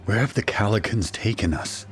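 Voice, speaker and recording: An actor playing a shaken husband and father who narrates his ordeal in a grave, measured, first-person style for an audio drama. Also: on the low side, soft background music, lightly acted. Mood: grave, tense. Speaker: narrator and main character.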